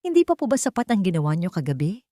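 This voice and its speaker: Woman doing a young maid, hurt but holding firm, polite and cold, low dry voice. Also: sing-song, fast, warm tone, no echo.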